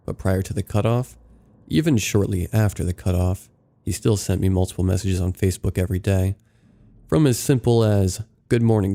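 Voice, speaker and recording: A man narrating submitted true scary stories in a steady, low-key reading. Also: creaky. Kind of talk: scripted horror story narration.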